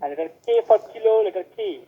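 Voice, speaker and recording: A man cold-calling as a scam caller, pushing a scripted pitch and spelling letters out slowly over a phone line. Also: slightly rough. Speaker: scam caller posing as support.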